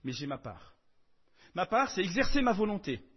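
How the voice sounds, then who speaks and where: A man preaching a sermon in French, emphatic, swinging between loud declarations and soft asides. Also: sits high, sing-song, moderate pace, breathy edge, muffled recording.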